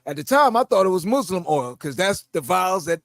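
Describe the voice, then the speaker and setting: A man's voice: sits high, sing-song, brisk, fairly bright tone, no echo, studio quality. A middle-aged man with a New York accent, casually telling stories on a podcast and laughing as he goes, acting out the voices he quotes. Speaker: podcast host. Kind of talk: casual storytelling.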